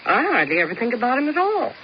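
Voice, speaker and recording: Jaded middle-aged woman, aloof and sardonic, very animated intonation, muffled noisy radio recording. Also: a little high, fast talker. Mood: dry, sceptical. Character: jaded, aloof older woman with dry sarcastic wit. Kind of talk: old-time radio drama acting.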